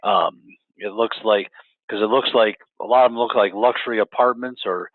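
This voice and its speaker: Lowish guy interviewer, keeps restarting his sentences, sounds like a phone line. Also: moderate pace, no echo. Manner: stumbling, many restarts and repeats. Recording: tinny dry phone-like line.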